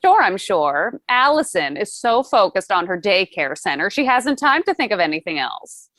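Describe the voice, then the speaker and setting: A woman's voice: mid-range voice, very animated, moderate pace, a bit bright, no echo. A woman playing a polite, genteel heiress who asks questions and wants more money, in a table read of a stage musical. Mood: hesitant, self-assured.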